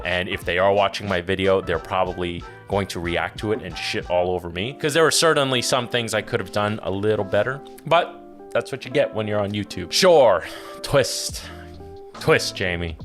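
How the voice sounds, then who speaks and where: A middle-aged man, a professional chef, giving casual, profane, joking commentary as he reacts to a cooking video. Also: on the low side. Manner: blunt, profane, animated and joking.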